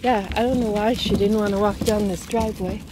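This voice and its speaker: A woman you would hear on a homemade walking vlog, rambling to her handheld camera outside, with plenty of outdoor noise around her mid-pitched voice. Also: fairly even intonation, brisk. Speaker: vlogger. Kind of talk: casual walking vlog chat. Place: outdoors on a wooded gravel driveway and yard. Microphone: handheld phone or camera. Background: clear outdoor ambient noise.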